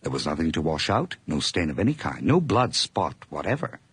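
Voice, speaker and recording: An actor narrating as a nervous, obsessive man confessing a murder in a radio drama, his delivery intense and feverish, moving between hushed whispers and sudden outbursts. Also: rumbling low, very animated, fairly quick, breathy edge, no room sound, exaggerated voice.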